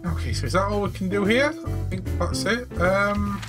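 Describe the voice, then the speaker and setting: Relaxed gamer doing let's play chat, thinking out loud, soft music behind. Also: mid-range voice, moderate pace. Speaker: gamer playing and commenting. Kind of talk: casual let's play commentary.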